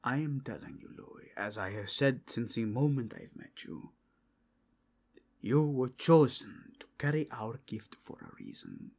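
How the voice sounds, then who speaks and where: A man's voice: mid-range voice, big pitch swings, measured pace, creaky, darkish tone, full character voice. A man voicing a zealous, courteous inquisitor with formal, grave, archaic phrasing in a fantasy ASMR roleplay. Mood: fervent, solemn. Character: zealous male inquisitor, solemn, courteous, devoutly faithful.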